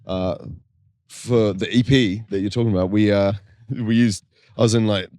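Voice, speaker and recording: Laid-back deep-voiced kiwi man. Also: fairly even intonation, moderate pace, mellow, dry recording, studio quality. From New Zealand. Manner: laid-back, chatty, laughs often. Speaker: guest musician. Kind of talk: casual podcast chat.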